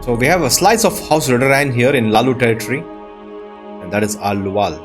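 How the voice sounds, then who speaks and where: A man plays a game and talks through it casually, thinking out loud as he goes. His voice sits at an ordinary pitch, with game music playing underneath. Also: brisk. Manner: musing aloud, exclaiming at sights. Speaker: let's player and show host.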